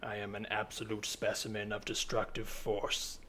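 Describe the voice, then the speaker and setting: A man's voice: on the low side, completely flat delivery, moderate pace, fairly bright tone, natural voice. A man voicing a self-important female robot assassin from the future in improvised comedy, stilted, grandiose and easily exasperated. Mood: grandiose, flustered. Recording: noisy track with ambient soundscape.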